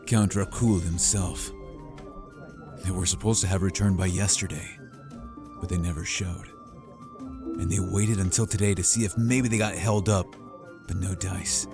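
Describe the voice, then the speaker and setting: A man's voice: fairly low pitch, fairly even intonation, normal speed, long pauses, music in the background, natural voice. A man playing an expert, easygoing hunter who talks in a casual, matter-of-fact way in a fantasy audio roleplay. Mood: serious, briefing. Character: expert male hunter, easygoing, loyal, practical team partner. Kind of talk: scripted fantasy audio roleplay addressed to the listener.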